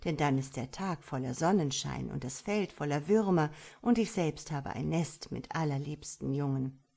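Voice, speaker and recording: A woman's voice: sits low, animated, normal speed, vocal fry, warm tone, slightly rough, full character voice. A woman voicing a cheerful, chatty starling in a storybook reading, breezy and a little lecturing. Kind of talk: storybook character voice.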